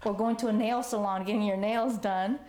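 Interview guest: mellow, filler-heavy woman in a roomy space. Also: medium pitch, steady tone, brisk. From United States, Los Angeles. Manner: chatty, lots of fillers, laughs easily. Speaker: artist guest. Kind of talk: conversational interview.

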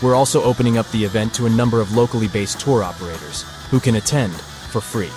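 A man reading a scripted event ad in a smooth, persuasive promo delivery. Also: on the low side, quick pace. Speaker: ad announcer. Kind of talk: scripted ad read. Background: guitar music.

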